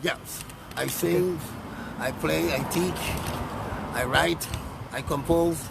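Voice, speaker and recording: Gravelly musician, loud street noise. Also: male voice, a little high. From St. Maarten. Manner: halting, repeats words, encouraging. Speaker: musician guest. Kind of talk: street interview. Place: outdoors on a street. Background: traffic and passing planes.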